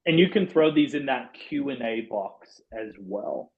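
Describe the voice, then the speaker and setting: A former pastor, a man, presenting a church software webinar in an affable way, with lots of "um" and "you know". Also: medium pitch, animated, measured pace. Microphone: video call. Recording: dull lo-fi webinar audio.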